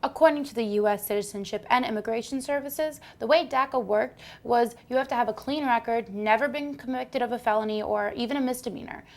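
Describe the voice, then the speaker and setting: A woman's voice: a little high, brisk, few pauses. Woman reading a news script. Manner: reads facts and figures from a script. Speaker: student news presenter.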